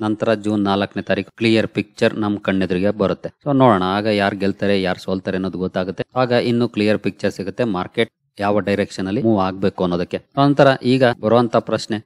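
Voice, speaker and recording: A man with a lowish voice explains market news to his viewers at a brisk clip. He goes through an on-screen article point by point, plain and patient. The sound is dry and close. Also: steady tone, clear, resonant tone, dry recording. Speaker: stock market channel host. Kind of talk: screen-share explainer talk.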